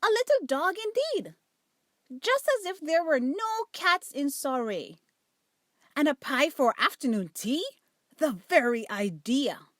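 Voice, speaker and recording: A woman's voice: sits high, sing-song, takes it slowly, a bit bright, dry recording, clean studio sound, exaggerated voice. A woman voicing a snobbish, indignant female cat in a storybook, haughty and exclamatory in her disdain. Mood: scornful.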